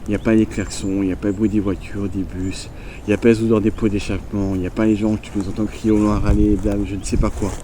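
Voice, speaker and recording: A man's voice: lowish voice, fast, a little hiss or hum. A man with a stuffy nose from a cold, chatting casually and rambling as he walks in the countryside, filming on a handheld phone. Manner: chatty, relaxed, rambling asides. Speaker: vlogger on a nature walk. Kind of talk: casual walking vlog to camera. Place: outdoors in the countryside.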